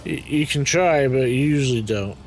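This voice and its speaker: Raspy-voiced man narrating his gameplay. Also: medium pitch, moderate pace, faint music behind. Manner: muttering to himself while playing, frequent ums and throat-clearing. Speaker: game streamer. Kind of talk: casual gameplay commentary.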